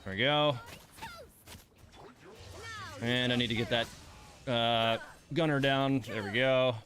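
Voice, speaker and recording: A man's voice: mid-range voice, slow pace, fairly bright tone. Grumbling man streaming a video game. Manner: grumbling, muttering reactions to the game. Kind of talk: casual live gameplay commentary.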